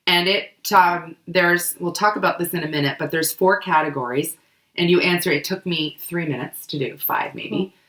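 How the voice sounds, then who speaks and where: A woman co-hosting a book club podcast, hoarse and coughing from a cold, chatting casually with many fillers. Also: on the low side, moderate pace, a bit bright, roomy sound, rough recording. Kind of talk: casual explanatory chat.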